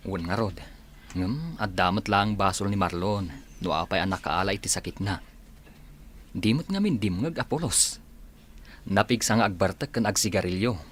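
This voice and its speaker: A man's voice: fairly low pitch, animated, normal speed, long pauses, fairly bright tone, slight background noise. A man playing a plain-spoken, concerned village husband in a radio drama, talking in a conversational, matter-of-fact way.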